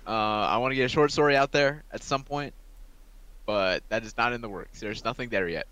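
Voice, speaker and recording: A young man co-hosting a casual podcast, dry and halting, trailing off mid-sentence. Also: mid-range voice, fairly bright tone, dry recording, highs cut off. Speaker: podcast co-host. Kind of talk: casual group podcast chat.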